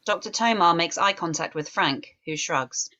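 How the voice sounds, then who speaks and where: A woman reads stage directions aloud for a drama table read. Her voice is a little low, and her scripted narration is measured and descriptive. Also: sharp tone.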